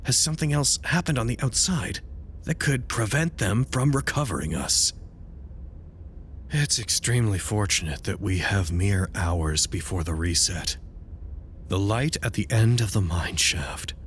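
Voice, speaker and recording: Deep-voiced male researcher, audio drama, dry wit, formal wordy phrasing, dutiful, slight background noise. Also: a little slow, a bit bright. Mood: grave, analytical. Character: dutiful, wry male researcher at an underground anomaly site. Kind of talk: audio drama, recorded log monologue.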